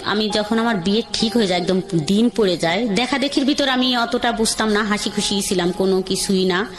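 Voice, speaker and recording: An adult woman, calling in to tell a radio host her life story in a halting, reflective way with frequent restarts. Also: medium pitch. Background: soft background music.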